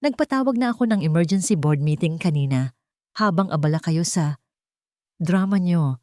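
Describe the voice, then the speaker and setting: A woman's voice: fairly low pitch, expressive, normal speed, mellow, no room sound, studio quality, natural voice. A woman with a Filipino accent voicing a ruthless rich villainess aunt in an audio love story, mocking and imperious. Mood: gloating.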